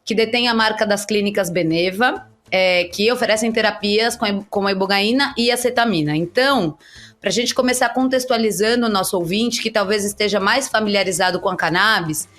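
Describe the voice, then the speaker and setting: A woman hosting a podcast, framing her interview questions in a measured, thoughtful way. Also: medium pitch, a bit bright. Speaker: podcast host.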